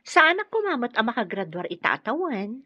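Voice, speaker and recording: A woman's voice: a little high, very animated, normal speed, pushed and bright, husky, dry recording, full character voice. A woman with an Ilocano accent acting an earnest, grateful young wife in a radio drama. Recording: dry, dull studio audio.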